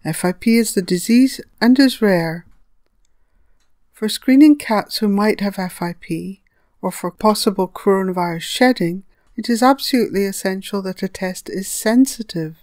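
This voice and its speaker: A woman reading a scripted educational narration as a veterinary lecturer, measured and didactic, explaining step by step. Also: mid-range voice, darkish tone, no room sound, studio quality.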